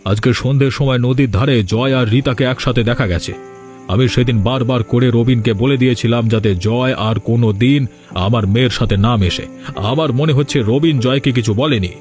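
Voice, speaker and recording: A man's voice: medium pitch, fairly quick, darkish tone, faint music behind, natural voice. A man voicing an angry, ruthless village father in a cartoon, speaking in a menacing, insistent manner. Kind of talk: cartoon voice acting.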